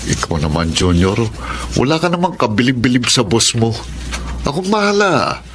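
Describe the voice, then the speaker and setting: A man's voice: mid-range voice, big pitch swings, normal speed, fairly bright tone, a little hiss or hum. A man playing a scheming street boss and absent father in a Filipino radio drama, dramatic and persuasive. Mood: coaxing.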